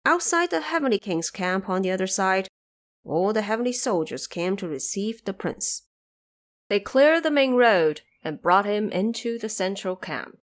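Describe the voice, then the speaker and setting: A woman's voice: mid-range voice, expressive, normal speed. A woman reading scripted narration as the storyteller of an audio drama.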